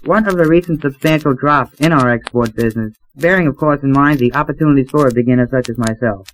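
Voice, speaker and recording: A man's voice: medium pitch, fast talker. A young man asking polite, formal prepared questions as a student on a panel program, on an old acetate disc. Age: young adult. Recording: noisy, clicking old 78 rpm acetate disc.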